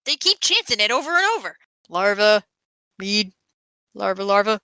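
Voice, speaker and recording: A woman's voice: medium pitch, sing-song, normal speed, pushed and bright, dry recording. A woman chatting casually and playfully as a player in a tabletop role-playing game.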